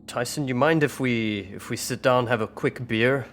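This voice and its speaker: A man's voice: mid-range voice, normal speed, soft background music, close to an everyday voice. A man playing a friendly, tactful investigator questioning someone in a tabletop roleplaying game. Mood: friendly, casual.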